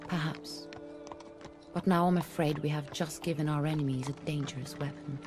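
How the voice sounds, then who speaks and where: An actress playing a young, vengeful female assassin in a video game, measured, solemn and intense. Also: low-pitched, steady tone, over music, natural voice. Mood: grave, guarded. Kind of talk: dramatic game cutscene dialogue and narration.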